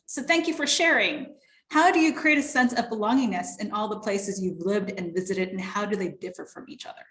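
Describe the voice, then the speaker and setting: Female moderator, medium pitch, reading questions to a guest, noticeably roomy sound. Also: fairly quick, fairly bright tone. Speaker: host, moderator. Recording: echoey room sound.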